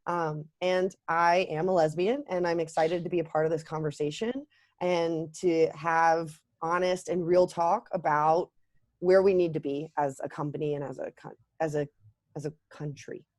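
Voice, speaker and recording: A woman's voice: low-pitched, little pitch movement, normal speed, darkish tone, dry recording, clean studio sound. A woman introducing herself on a panel over a video call, confident and wry. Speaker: panelist, business owner. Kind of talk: casual self-introduction.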